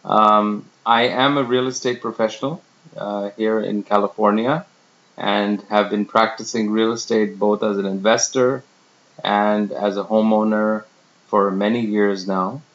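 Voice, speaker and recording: Man from India in his fifties, low voice, slow self-introduction full of fillers, dull lo-fi recording. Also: fairly even intonation, a bit bright. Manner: unhurried, many fillers and pauses. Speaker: real estate professional. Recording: dull lo-fi sound.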